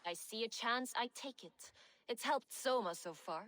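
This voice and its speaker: A woman's voice: a little high, unhurried, fairly bright tone. An actress playing a wry Viking woman and former smuggler who speaks dryly, with a wistful edge. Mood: sardonic. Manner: dry, sardonic, wistful. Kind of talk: game dialogue.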